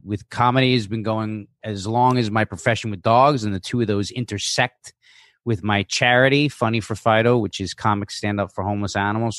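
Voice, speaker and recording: A man's voice: fairly low pitch, a bit bright, clear, resonant tone, no echo, studio quality. A man talking casually as a podcast guest, in a rambling, conversational way that circles back over phrases. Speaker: guest, comedian and dog trainer.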